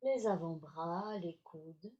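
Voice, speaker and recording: A woman teaching yoga nidra, guiding relaxation in short, soothing, measured phrases with long pauses. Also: fairly low pitch, warm tone, dull audio. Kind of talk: guided relaxation instruction.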